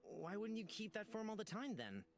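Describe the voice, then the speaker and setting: A man's voice: high-pitched, sing-song, normal speed. Earnest, naive young adventurer acted by a man. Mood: curious. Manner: earnest, friendly. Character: kind, trusting, curious, naive young orphan adventurer. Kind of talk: visual novel character acting. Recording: music behind the voice.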